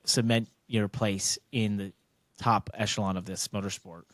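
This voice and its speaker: A man's voice: lowish voice, steady tone, normal speed, no echo. An American man hosting a casual sports podcast, talking bluntly and emphatically in long, rambling turns. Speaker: podcast host, racing driver.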